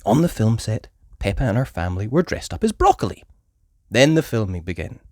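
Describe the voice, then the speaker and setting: A woman reading a children's story aloud in an animated storytelling style. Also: a bass voice, brisk, dry recording, clean studio sound.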